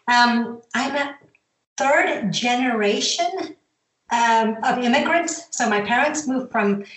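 A woman's voice: fairly high pitch, expressive, slow pace, fairly bright tone. A middle-aged woman with an American accent, chatting in a meandering, casual way as an interview guest. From born in Zambia, grew up in the US from age ten. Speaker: podcast guest, company founder. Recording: echoey, roomy sound.

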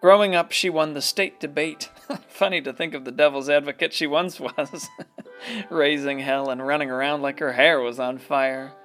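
Actor as high-pitched reflective male storyteller. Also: steady tone, normal speed, a bit bright, lightly acted. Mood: wry, fond. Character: reflective, wry small-town narrator reminiscing. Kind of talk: radio play narration. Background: music.

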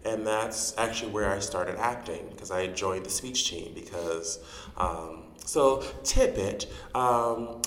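A man's voice: on the low side, slow pace, a bit bright, reverberant, in a large hall, slight background noise. A man in his forties from Chicago, chatting animatedly as a guest in an interview and laughing often. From Chicago, USA. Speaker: guest, drag performer and actor. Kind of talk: casual interview.

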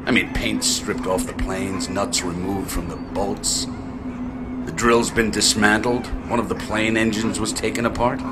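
A man voices an expedition scientist in a radio play, deep-voiced and analytical. He reasons aloud with growing agitation. The recording carries a clear background hiss. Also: little pitch movement, a little slow, close to an everyday voice. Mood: tense. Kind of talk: radio drama acting. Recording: audible background noise.

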